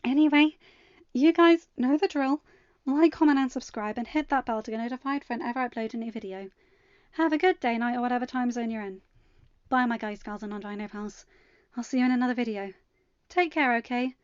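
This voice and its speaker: Woman reading fanfic for her channel, highish voice, dry close sound, bit dull. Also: brisk, darkish tone, clear, resonant tone, dry recording. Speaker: podfic reader and channel host. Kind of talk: narrated fan fiction reading with chatty, excitable sign-off.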